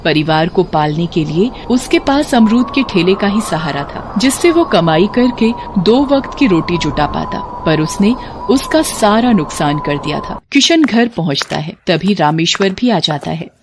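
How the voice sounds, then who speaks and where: A narrator reading a scripted moral story in a measured storytelling style. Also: medium pitch, lively intonation, background noise.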